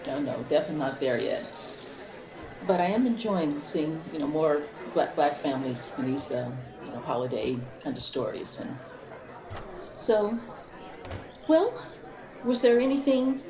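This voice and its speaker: Woman leading an informal group talk, slightly low register, background voices plainly audible. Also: big pitch swings, normal speed, pauses often, crowd noise, low-quality recording. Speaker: discussion moderator. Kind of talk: casual group chat.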